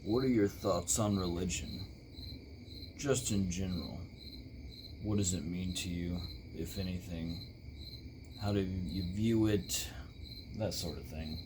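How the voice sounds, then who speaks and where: Man playing a low-voiced shock-rock talk show host. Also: slow, pauses often, darkish tone, slight background noise. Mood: measured, curious. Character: impression of a dark male shock-rock star hosting a show.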